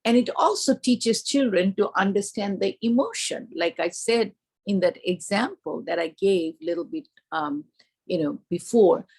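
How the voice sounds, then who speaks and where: A woman's voice: mid-range voice, slightly rough. A woman presenting an explanatory lesson as a teacher trainer, instructive and emphatic as she spells out each point. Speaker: early childhood teacher trainer.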